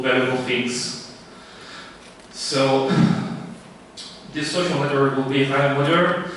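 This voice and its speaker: A man from Romania pitching his startup live, halting often with fillers and restarts. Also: a bit bright, slight background noise. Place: event room. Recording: echoey room, distant mic.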